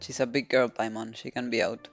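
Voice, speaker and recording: A woman game streamer comments casually as she plays. Her delivery is very even and low-key, with faint game music behind her. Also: brisk, vocal fry, highs cut off.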